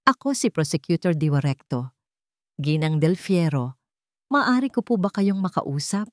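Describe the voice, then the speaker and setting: A woman's voice: lowish voice, big pitch swings, moderate pace, a little mellow, no echo, studio quality. A woman voicing a serious, determined female prosecutor with a firm manner. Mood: serious, composed.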